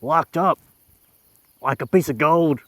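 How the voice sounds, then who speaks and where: A man's voice: a little high, slow, no echo. A man playing a rambling Old West outlaw. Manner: rambling, deadpan storytelling. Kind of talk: roleplay in a western game.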